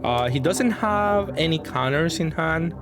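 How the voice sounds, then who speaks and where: A man's voice: fairly high pitch, expressive, measured pace. A man giving casual gameplay commentary, thinking aloud in a hesitant way as he plays. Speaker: card game player presenting match commentary. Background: music.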